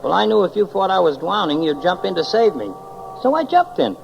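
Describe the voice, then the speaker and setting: A eccentric angel, centuries old, speaks in a high, creaky voice with lively swoops. He is fussy and formal, fond of ornate wording, in the manner of a vintage 1940s radio fantasy. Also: fairly quick, full character voice. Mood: earnest, chatty. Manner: pompous, wordy, formal. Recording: muffled, noisy old 1940s radio recording.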